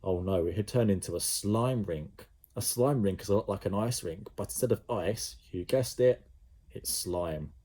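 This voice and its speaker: A male primary school teacher reading a children's story aloud, animated and full of sound effects. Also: fairly low pitch, normal speed, slightly rough.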